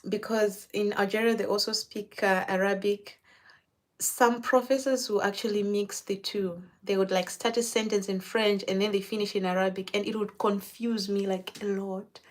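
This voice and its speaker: Lady chatting w a client while doing her hair, mid pitch, keeps repeating words as she restarts, easygoing. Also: little pitch movement, moderate pace. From Zimbabwe. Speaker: hairstylist.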